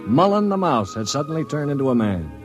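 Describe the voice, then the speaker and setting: An actor playing a quick-thinking, take-charge man, emphatic and dramatic, in a 1950s radio drama. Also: mid-range voice, sing-song, faint music behind, lightly acted. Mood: reflective. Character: quick-thinking, take-charge prisoner who narrates the story. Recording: noisy 1950s radio recording.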